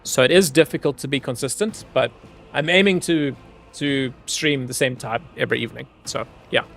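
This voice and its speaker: A man's voice: medium pitch, moderate pace, gravelly, a bit bright, soft background music. A man from Zimbabwe streaming a game, chatting easily with his viewers and laughing often while he plays. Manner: easygoing, chatty, laughs often, talks to chat. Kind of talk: casual gameplay commentary.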